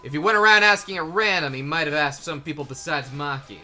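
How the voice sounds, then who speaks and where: A man's voice: on the high side, fast talker, bright, forceful tone. A man in an amateur game fan dub playing an earnest young detective who reasons aloud with some hesitation. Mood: puzzling it out. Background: background music.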